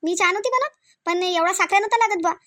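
Woman playing a shrill, scolding Varhadi mother-in-law. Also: fast, a bit bright, no room sound, clean studio sound. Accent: Varhadi Marathi from Vidarbha. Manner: nagging, sarcastic, full of rhetorical questions. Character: domineering, miserly, scolding rural mother-in-law. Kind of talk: cartoon comedy dialogue.

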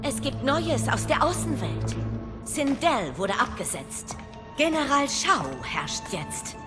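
High-voiced, expressive warrior princess. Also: female voice, takes it slowly, full character voice. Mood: defiant, cool. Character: determined young warrior princess, bold and defiant. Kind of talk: dramatic German video game dubbing. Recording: loud music behind voice. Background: loud music.

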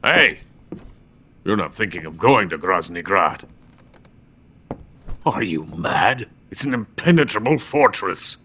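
An actor playing a bitter, proud, drunken older Soviet weapons scientist in a video game, with a brooding, theatrical delivery. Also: male voice, lowish voice, animated, slow pace, long pauses, bright, forceful tone, slightly rough, exaggerated voice. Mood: alarmed. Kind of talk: dramatic video game cutscene acting. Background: music.

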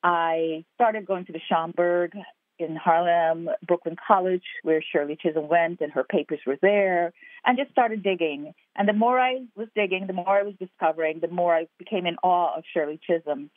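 A woman's voice: fairly low pitch, normal speed, no room sound. Woman on a tinny phone line. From Guyana. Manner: earnest, reflective, builds in repeated phrases. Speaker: actor and playwright guest. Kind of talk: interview over the phone. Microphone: telephone.

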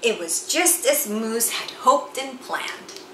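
A middle-aged woman reads a picture book aloud to children, with theatrical, deliberate, emphatic phrasing. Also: fairly high pitch, expressive, pushed and bright. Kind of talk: expressive children's read-aloud storytelling. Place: small indoor room with bookshelves and chalkboard. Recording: roomy sound with faint background noise.

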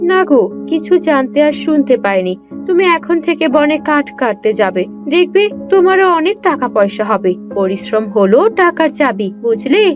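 A bossy, nosy village wife from a fairy-tale cartoon. Her voice is very high, full of scolding and exclamations. Light music sits behind it. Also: very animated, normal speed. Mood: fretful, bossy.

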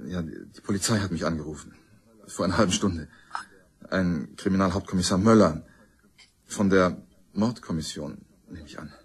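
An actor in a radio crime drama playing a terse, harried well-off man under pressure, with a rough, husky voice. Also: low voice, moderate pace, pauses often. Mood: subdued, tense.

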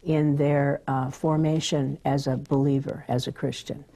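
Older woman, TV interview guest; low, gravelly, husky voice, earnest and affirming. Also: flat delivery, unhurried, warm tone. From Southern California. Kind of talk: television interview. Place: studio set, indoors.

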